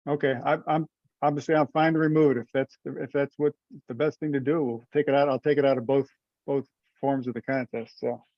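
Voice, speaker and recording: A man's voice: medium pitch, little pitch movement, a little fast, no room sound. A man presenting informally in a remote meeting, thinking aloud tentatively and seeking agreement, over muffled audio. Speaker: presenter in a committee teleconference. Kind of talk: informal meeting discussion, explaining a proposal. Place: remote conference call.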